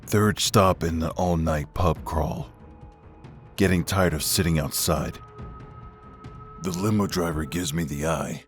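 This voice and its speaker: A man playing a grim, hardboiled vigilante in a comic dub, terse and deadpan like noir narration. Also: low-pitched, a little slow, pauses often, soft background music. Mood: cold resolve.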